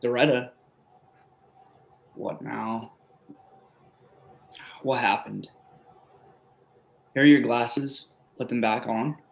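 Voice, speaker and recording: Man acting a quiet middle-aged man, slightly gravelly. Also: mid-range voice, steady tone, very slow, pauses often. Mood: concerned. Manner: gentle, reassuring. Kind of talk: play reading.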